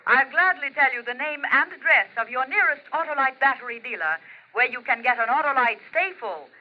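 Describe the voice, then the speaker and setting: An actress playing a helpful telephone operator in a 1950s radio commercial. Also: female voice, high voice, expressive, fairly quick, dull audio. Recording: thin, band-limited 1950s radio.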